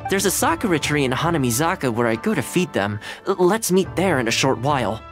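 A man's voice: a little high, big pitch swings, a little fast, soft background music. An actor playing a friendly young man in an anime video game, cheerful, polite and laid-back. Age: young adult. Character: friendly, easygoing young male anime housekeeper. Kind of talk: scripted video game dialogue.

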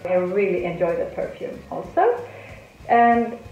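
A woman's voice: mid-range voice, sing-song, unhurried, dark tone, echoey room, dull audio. A woman in her thirties, a perfume vlogger, chatting casually and upbeat about her favourite scents. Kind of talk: casual vlog chat.

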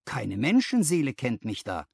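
A man's voice: mid-range voice, wildly swooping pitch, moderate pace, gravelly, darkish tone, breathy edge, no room sound, full character voice. A man voicing a dismayed young clerk in an audiobook, exclaiming and asking anxious questions. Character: dismayed, agitated young male clerk seeking help. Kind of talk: audiobook character dialogue.

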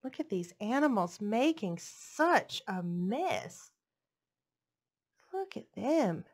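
Woman reading kids storybook, kinda high voice, real slow and singsongy, bedtime storytime thing. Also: big pitch swings, darkish tone. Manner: sing-song, playful rhythmic delivery. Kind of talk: expressive picture-book read-aloud for children. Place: living room, indoors. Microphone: headset mic.

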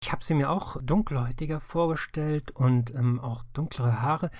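A man's voice: mid-range voice, normal speed, a little mellow, no echo, clean studio sound. A man conducting a casual interview in a conversational way, putting questions to his guest.

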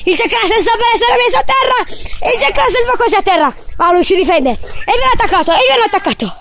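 A woman giving excited, loud mock sports commentary, repeating phrases over and over. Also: extremely high pitch, a little fast, vocal fry, background noise. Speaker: amateur commentator filming dogs at play.

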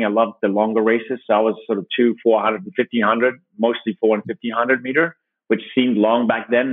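Podcast guest: a low-voiced Danish man, halting and thoughtful, heard over a tinny remote line. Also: dry recording. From Denmark. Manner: thoughtful, halting, with frequent restarts. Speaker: guest, company founder. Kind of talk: relaxed interview answers. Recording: dry, tinny phone-like line.

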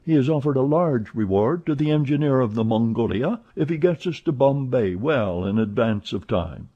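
A man voicing an excitable, chatty young French manservant who talks eagerly and protests often, in a dull lo-fi recording. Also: medium pitch, pitched above the performer's own voice, close to an everyday voice. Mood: excited. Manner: eager, exclamatory, quick to protest.

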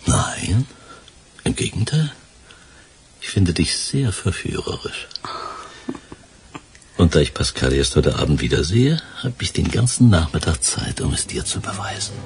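An actor playing a cool, calculating male criminal mastermind in a crime radio drama, gravelly, dry and self-assured. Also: low voice, sing-song, measured pace, long pauses, slight background noise, heavily acted. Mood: seductive.

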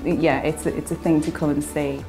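A woman's voice: low voice, little pitch movement, normal speed, music in the background, rough recording. A woman giving an interview testimonial as a business representative.

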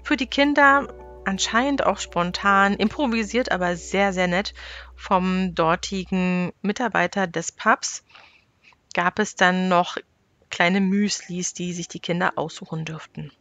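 A woman's voice: fairly low pitch, steady tone, measured pace, a bit bright. A woman narrating her family travel vlog in a scripted, chatty voice-over, telling it plainly with small restarts. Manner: chatty, matter-of-fact storytelling with small restarts. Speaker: travel vlogger. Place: voice-over, recorded apart from the pictured scenes.

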